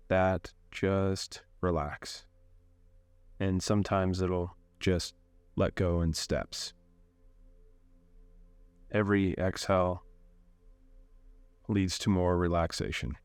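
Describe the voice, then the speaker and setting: A man leading a guided meditation in a calm, unhurried way, giving gentle instructions with long pauses. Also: deep voice, clean tone, dry recording.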